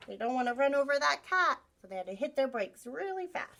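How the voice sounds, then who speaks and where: A woman reads a children's picture book aloud like a teacher with young listeners. Her voice is bright and fairly high, with very lively swings in pitch. She tells it expressively and plays up the sound words. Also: fast talker, gravelly. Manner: animated, acts out sound effects, asks listeners questions. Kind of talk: expressive read-aloud storytelling.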